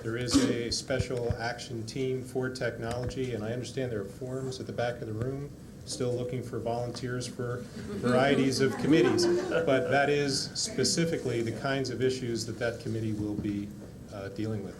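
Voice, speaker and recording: A man's voice: medium pitch, steady tone, normal speed. A man on a school board explaining a planning process in an informative way. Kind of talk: meeting remarks. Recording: roomy hall mic with loud hiss.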